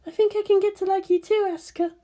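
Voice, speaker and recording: A prim schoolgirl class rep, acted by a woman, very high in pitch. She is sweet on the surface and commanding underneath, like a polite little tyrant in an anime story. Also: steady tone, quick pace, mellow. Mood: sly, friendly. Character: bossy, sweetly menacing schoolgirl class representative.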